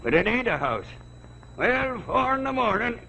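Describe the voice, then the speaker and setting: A man's voice: very high-pitched, very animated, moderate pace, creaky, very noisy, exaggerated voice. An actor playing a wry, grumbling working man with a colloquial, sardonic manner in a 1940s television drama. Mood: wry, uneasy. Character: wry, grumbling working-class army labourer.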